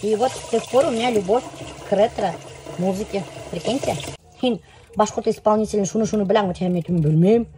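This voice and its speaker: A woman with a Bashkir accent chatting casually as a vlogger, switching between two languages in an animated, exclamatory way. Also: mid-range voice, a little fast, background noise. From Bashkortostan, Russia.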